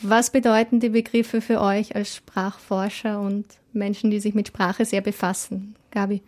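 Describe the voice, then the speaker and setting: A woman moderating a panel, asking her questions calmly and evenly. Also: mid-range voice, moderate pace. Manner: calm, even questioning.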